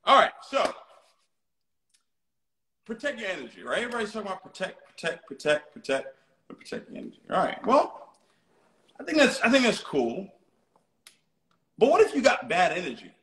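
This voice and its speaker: Male motivational live host, highly animated intonation, repetitive and rhetorical, frequent check-ins with listeners, roomy echo. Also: brisk, long pauses. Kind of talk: motivational talk to a live online audience. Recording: roomy, echoey sound.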